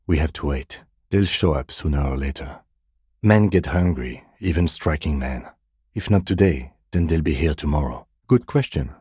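An actor playing a calm, dutiful middle-aged police detective in a video game, with a measured, deadpan, politely formal delivery. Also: male voice, extremely low pitch, husky, no room sound, clean studio sound, exaggerated voice. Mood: wry, lifted. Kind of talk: scripted game character dialogue.

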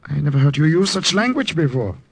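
A husky Gestapo commander with a German accent, suave and menacing. His pitch swoops about as he plays the villain in an old-time radio drama. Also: big pitch swings, fairly quick, heavily acted. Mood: silky, probing. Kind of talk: 1950s radio villain acting.

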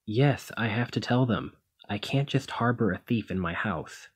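A man acting a low, husky demigod hero. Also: lively intonation, moderate pace. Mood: worried, firm. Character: brawny male demigod hero, protective, conflicted, exasperated lover. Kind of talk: ASMR roleplay, one-sided scripted dialogue.

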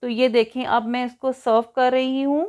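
A woman's voice: sits high, little pitch movement, normal speed, a little mellow. A woman hosting a cooking tutorial in voice-over, explaining each step in an instructional way. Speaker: cooking show host.

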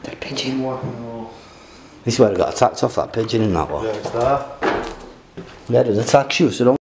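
A man's voice: medium pitch, expressive, moderate pace, darkish tone, reverberant. A man, joking and rambling as he films himself exploring a derelict house on a handheld camera. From England. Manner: jokey, rambling, swears casually. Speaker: urban explorer vlogger. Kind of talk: casual handheld exploring commentary. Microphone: handheld camera mic. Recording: noisy camera audio.

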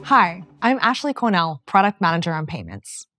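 A woman in her thirties from New Zealand with a softened accent, giving a clear, upbeat scripted keynote presentation. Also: fairly low pitch, normal speed, loud music behind the voice. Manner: clear, steady, upbeat delivery. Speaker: product manager presenting. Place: studio set with curtains.

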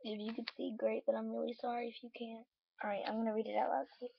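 A young woman talks over her game in a hoarse, slightly high voice. She rambles and corrects herself as she goes. The sound is dull and muffled, as if from a laptop mic in a dim room at home. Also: little pitch movement, fast. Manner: rambling, self-correcting, lots of ums and likes. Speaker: let's play gamer. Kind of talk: casual gameplay commentary. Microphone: laptop webcam.

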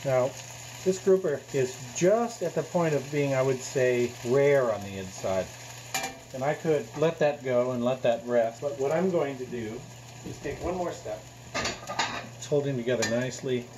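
A man's voice: mid-range voice, moderate pace. A middle-aged man, a chef, explaining step by step while he cooks at a gas stove in a home kitchen, recorded by a camera across the room. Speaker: chef and store co-owner. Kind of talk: cooking demonstration. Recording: kitchen recording with clear frying noise. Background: frying and sizzling pan.

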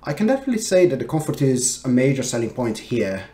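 A man in his thirties giving a casual tech product review with hesitant, halting phrasing. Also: mid-range voice, very animated, warm tone. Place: small home office, indoors.

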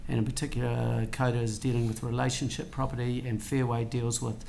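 A man from New Zealand speaks hesitantly on a panel, with many uhs. His voice is flat and gravelly. The table microphone carries faint room ambience. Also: medium pitch, fairly quick, a little hiss or hum, rough recording. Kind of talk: conference panel talk. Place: conference room with audience.

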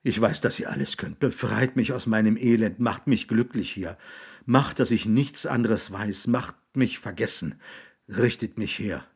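A man's voice: fairly low pitch, unhurried, gravelly, breathy edge, heavily acted. A man voicing a desperate, hysterical man near breakdown in an audiobook, frantic and pleading.

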